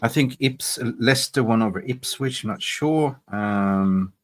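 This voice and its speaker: A man from Sweden hosting a casual post-match football fan chat, blunt and swearing, getting excitable as he reacts to live scores. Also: mid-range voice, a little slow. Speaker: football fan channel host.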